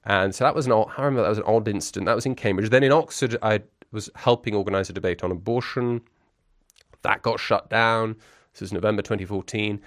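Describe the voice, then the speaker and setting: A man in his forties with a lowish voice, musing aloud as a guest in a conversational interview. He circles his thoughts, stumbling through restarts and little fillers, yet lands on articulate, wry phrasing. The sound is close and dry, with no room echo. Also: fast talker. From grew up in Brussels.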